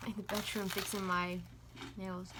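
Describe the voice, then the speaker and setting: Woman from Finland chatting over clear noise. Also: medium pitch, flat delivery, moderate pace, pushed and bright. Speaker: mukbang vlogger. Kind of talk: casual chat while eating. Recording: noisy room sound behind the voice.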